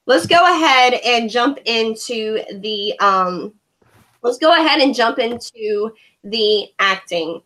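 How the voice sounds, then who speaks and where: A woman's voice: a little high, lively intonation, slow pace, a bit bright, poor audio. A woman from Korea hosting a casual video-call movie review, chatty and sarcastic. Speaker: host.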